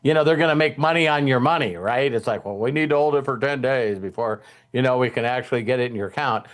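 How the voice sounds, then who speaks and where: A man hosting a casual motivational talk to a group, speaking informally and checking in with his listeners. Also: a little high.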